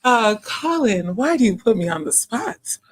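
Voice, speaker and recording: A woman with a deep voice, chatting casually as a guest on a call and laughing at herself often. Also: big pitch swings, unhurried. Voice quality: deep speaking voice. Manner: self-deprecating, laughs often. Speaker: live stream guest. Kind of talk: casual chat.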